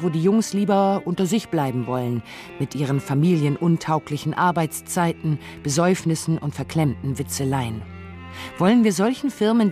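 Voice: mid-range voice, mellow, lightly acted. A narrator with reading a scripted, essayistic radio feature in a pointed, literary manner.

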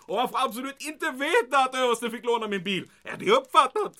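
An actor playing an agitated, self-important German military commandant in a comic audio drama, speaking formally and emphatically with German words mixed in. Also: male voice, very high voice, lively intonation, pushed and bright, full character voice. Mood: agitated, insistent.

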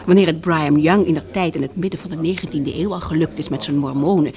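A woman's voice: low voice, fast, a little mellow, lightly acted. An actress playing a frank, wry young woman in intimate radio drama dialogue, with a candid, teasing way of talking. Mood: amused, wry.

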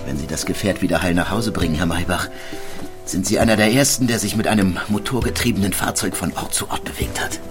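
An actor playing a dignified, enthusiastic visionary inventor in a historical radio drama, speaking in a formal, declamatory way. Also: male voice, sits low, quick pace, music in the background, lightly acted. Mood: rousing, visionary. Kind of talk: historical radio docudrama acting.

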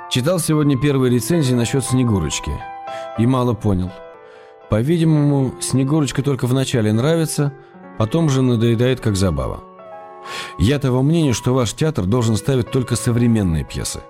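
An actor playing a wry, affectionate playwright reading out letters to his sweetheart in a measured way for a radio play. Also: on the low side, warm tone, natural voice. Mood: opinionated.

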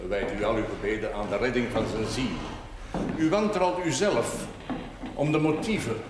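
A man's voice: medium pitch, close to an everyday voice. Man playing a solemn priest, very echoey room. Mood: solemn, probing. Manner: formal, deliberate, sermonizing. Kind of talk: measured, theatrical television drama acting. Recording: very echoey, noisy 1970s TV recording.

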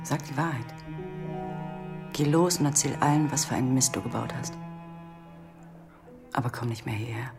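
An actress playing a guarded, sharp-tongued young woman in a radio play, with a dry, scornful manner. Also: rumbling low, moderate pace, gravelly, pauses often, music in the background. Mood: scornful, firm.

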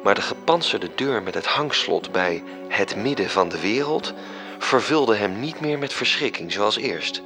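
A man narrating a literary radio drama in a measured, serious storytelling manner. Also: lowish voice, expressive, music in the background.

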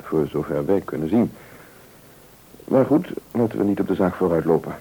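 An actor playing a sober, cautious official in a radio play, explaining formally and in measured words with a Netherlands Dutch accent. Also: male voice, low-pitched, animated, warm tone, husky, full character voice, low-quality recording.

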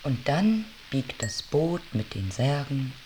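A woman's voice: extremely low pitch, sing-song, slow pace, darkish tone, slight background noise, heavily acted. An actress playing a wry, detached woman who narrates a bleak tragedy in a literary radio drama. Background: music behind the voice.

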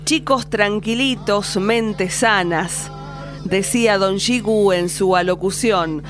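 A woman's voice: medium pitch, slow pace. A woman with an Argentine accent hosting a radio show with reflective commentary on folk music. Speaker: radio host. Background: faint music.

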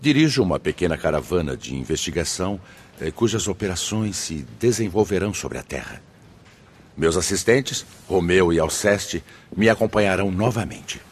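A man acting a benevolent, authoritative spirit leader in a Brazilian radio drama, husky, formal and measured. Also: deep voice, lively intonation, slight background noise. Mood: gracious, formal. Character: benevolent, authoritative male spirit leader addressing his brothers.

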